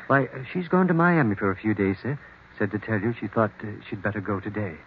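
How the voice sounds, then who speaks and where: A man's voice: on the low side, quick pace, close to an everyday voice. A man playing a mild, deferential household butler in an old-time radio drama, polite and slightly hesitant. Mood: meek, polite. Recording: tinny, faintly noisy vintage radio recording.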